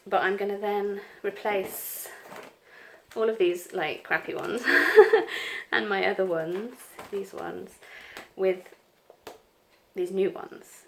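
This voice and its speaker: Croaky middle-aged woman with a cold, mid pitch, rambling and apologetic planner chat. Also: fairly even intonation, takes it slowly, a little hiss or hum, low-quality recording. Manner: rambling, apologetic, frequent ums. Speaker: planner vlogger. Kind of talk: casual chat over crafting. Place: home craft desk, indoors.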